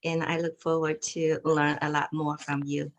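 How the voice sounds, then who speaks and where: A woman's voice: deep voice, level tone, moderate pace, dull audio. A woman introducing herself to a class on a video call, hesitant, with many fillers. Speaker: student.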